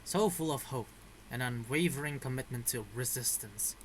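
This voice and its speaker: A man's voice: medium pitch, moderate pace, slight background noise, poor audio. A man giving casual reaction commentary as he plays a video game.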